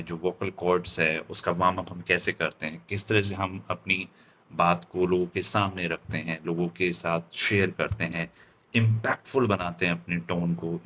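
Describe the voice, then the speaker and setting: An Indian man giving an instructional lesson as a public speaking trainer, deliberate and stressing his key words. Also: low voice, a bit bright, slight background noise. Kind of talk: instructional lesson mixing Hindi and English.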